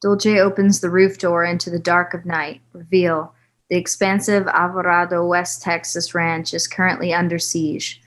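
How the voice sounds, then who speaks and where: A woman reading screenplay stage directions at a table read in an even, measured way. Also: fairly low pitch.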